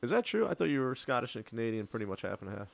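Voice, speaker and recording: A man's voice: medium pitch, sing-song, rapid-fire, creaky. A man chatting casually as a podcast co-host, agreeable, often hesitating and repeating himself. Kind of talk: casual chat.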